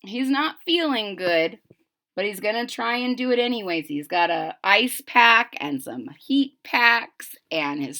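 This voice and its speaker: A woman reading a picture book aloud to children in a dramatic, animated way, stopping to explain things. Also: fairly high pitch. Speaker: children's story reader. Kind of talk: expressive read-aloud with explanatory asides to children.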